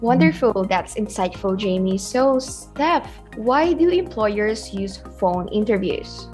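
A woman's voice: medium pitch, lively intonation, takes it slowly, darkish tone. Woman hosting a podcast, faint music. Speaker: podcast host interviewing recruiters. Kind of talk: scripted interview hosting.

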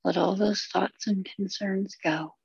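A woman guides a relaxation session in a husky voice thick with vocal fry. She speaks soothingly in short, unhurried phrases and leaves long pauses between them. The recording is dull and very dry. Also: medium pitch, steady tone, a little mellow, dry recording. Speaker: meditation guide.

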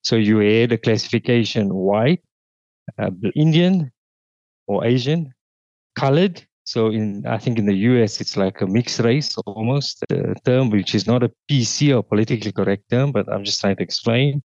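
A man from South Africa, a guest in an interview, telling his life story in a halting way with many restarts. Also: medium pitch, darkish tone, no echo, highs cut off. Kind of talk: casual interview testimony.

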